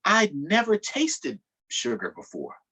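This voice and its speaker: A man's voice: high voice, slow, slightly rough. A man hosting a virtual storytime, reading a picture book aloud to children and stopping to ask them questions. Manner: expressive, asks the children questions.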